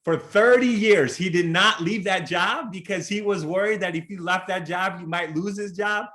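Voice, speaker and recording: A man with a fairly high voice delivers a keynote over a video call. He speaks earnestly and conversationally, often restarting phrases and tossing in a quick "right?" to his listeners. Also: bright, forceful tone. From Chicago.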